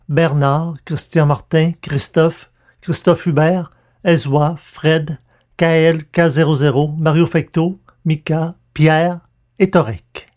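Male podcast host, slightly high register, scripted announcements. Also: a little mellow.

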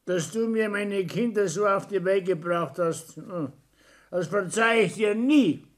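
An actor playing a bullying, self-pitying older husband in a 1960s German radio play, grumbling and scolding with a Bavarian accent. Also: male voice, high voice, unhurried. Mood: scornful scolding.

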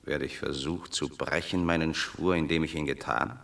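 Deep-voiced, proud Roman general. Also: male voice, fairly even intonation, moderate pace, gravelly, heavily acted. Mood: shaken, subdued. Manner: declamatory, swinging between hushed and ringing. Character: proud, unbending Roman general torn by family feeling. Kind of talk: classical German radio play verse acting.

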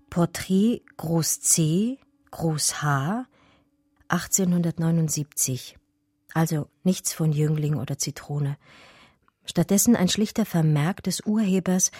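A woman's voice: deep voice, warm tone, husky, no room sound, clean studio sound, full character voice. A woman playing an articulate, biting art historian who tells her own story in a radio drama. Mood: breathless discovery. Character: female art historian recounting her discovery in first person. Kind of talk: dramatic first-person radio play narration.

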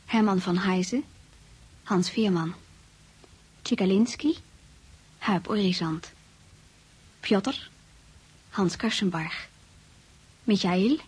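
Slow, husky female radio announcer. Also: medium pitch, darkish tone, poor audio. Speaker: credits announcer. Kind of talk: scripted radio cast announcement.

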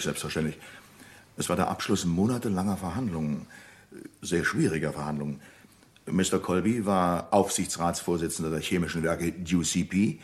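A man playing a composed, discreet businessman giving testimony in a radio crime drama, speaking formally and deliberately. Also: low voice, close to an everyday voice. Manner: formal, deliberate, hesitant pauses.

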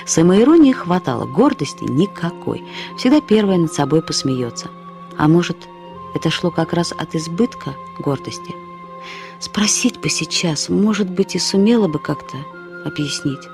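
Актриса играет рассказчицу, которая с иронией и задумчивостью вспоминает взбалмошную подругу, живо и разговорно, как в литературном радиоспектакле. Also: female voice, lowish voice, normal speed, creaky. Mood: reflective, wistful. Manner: expressive, wry, conversational storytelling. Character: reflective woman recalling her flighty, dramatic female friend. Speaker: actress reading a short story as its narrator. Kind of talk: dramatized literary narration. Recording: studio radio play with faint music.

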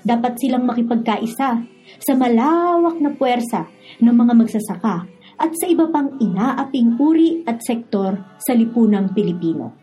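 A woman's voice: on the high side, animated, moderate pace, dark tone, slightly rough, poor audio. A woman with a Filipino accent hosting a radio drama series and reading scripted narration. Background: background music.

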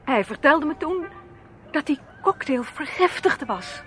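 An anxious young woman in a radio play. Her voice sits fairly high and she speaks hesitantly but earnestly. A faint layer of noise lies over the recording. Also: lively intonation, unhurried, fairly bright tone. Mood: shaken, earnest. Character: Linda West, anxious young woman seeking her brother. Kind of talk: Dutch radio play acting.

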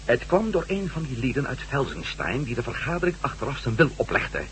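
A man's voice: medium pitch, big pitch swings, fairly quick, fairly bright tone, a little hiss or hum, rough recording. A man acting an earnest, deferential conspirator in a Dutch radio drama, polite and eager as he addresses a superior. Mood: urgent, deferential. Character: deferential, earnest male conspirator pleading for help.

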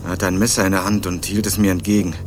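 A man's voice: low-pitched, flat delivery, fast talker, close to an everyday voice. A young man narrating a radio crime drama in the first person, with a laconic, dry hard-boiled manner. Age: young adult. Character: sardonic young man hunting his missing cousin. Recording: radio play with music underneath.

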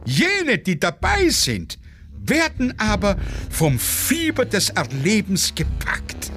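A man's voice: high-pitched, wildly swooping pitch, slow pace, music in the background, heavily acted. A man voicing a theatrical, affectedly thrilled female war correspondent in a declamatory, gushing manner, as part of a dramatic play reading. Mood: rapturous. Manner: affected, declamatory, breathlessly enthusiastic.

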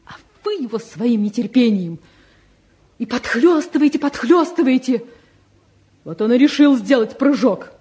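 An actress playing a spirited, frank young woman, a devoted sweetheart, in an expressive 1950s Soviet radio play style. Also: on the high side, a little slow, husky. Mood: earnest, protective.

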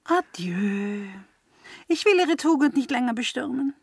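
An actress playing a teasing, inquisitive, scheming maidservant in a radio play, pert and prompting. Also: female voice, high-pitched, animated, a little slow, slightly rough, no echo, clean studio sound, full character voice. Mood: mocking. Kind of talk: German radio play acting of classic comedy.

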